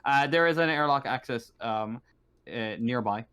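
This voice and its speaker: A man's voice: medium pitch, moderate pace, pushed and bright, smooth. Man hesitantly running a tabletop game. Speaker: game master. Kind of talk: narrating a tabletop game, casual improvising. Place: online voice call.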